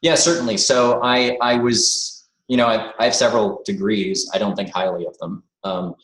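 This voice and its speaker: A man's voice: fairly low pitch, fairly even intonation, normal speed, fairly bright tone, roomy sound, rough recording. A man speaking as an interview guest over a video call, thoughtful and hesitant, with many filler words. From born in the United States, raised abroad. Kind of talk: reflective conversational interview.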